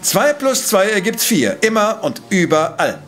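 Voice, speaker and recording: A man's voice: high voice, sing-song, a little slow, a bit bright, soft background music, exaggerated voice. A man playing a brilliant, conceited professor-detective in an audio drama, with a pompous, precise, lecturing manner. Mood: smug, triumphant. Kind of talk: German audio drama acting.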